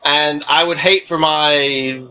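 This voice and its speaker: A man's voice: on the high side, fairly even intonation, takes it slowly, pushed and bright. A man with an American Southern accent, co-hosting a podcast in casual chat with lots of fillers. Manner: rambling, many fillers. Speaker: podcast co-host. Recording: dull lo-fi podcast audio.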